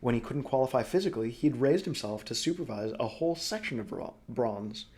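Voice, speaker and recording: A man narrating a story as an audiobook reader, expressive and dramatic, phrasing deliberately. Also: medium pitch.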